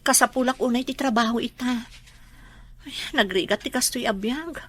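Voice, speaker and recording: A broke young mom, humble n pleading, bright highish voice, radio drama. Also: female voice, moderate pace. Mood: weary, anxious. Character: poor struggling young mother, humble and pleading. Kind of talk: Ilocano radio drama acting.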